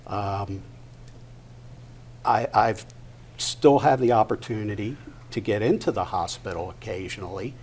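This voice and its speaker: An older man giving a personal testimony to an audience at a live event, halting and deliberate, pausing mid-sentence. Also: on the low side, darkish tone. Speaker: guest speaker at a patient discussion event. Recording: noticeable background noise, live event.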